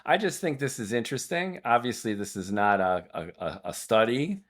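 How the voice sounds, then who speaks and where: A man's voice: mid-range voice, expressive, moderate pace, fairly bright tone. A man hosting a podcast interview, a native Hungarian speaker who talks in wordy, digressive stretches. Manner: discursive, wordy, prone to digressions. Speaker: podcast host.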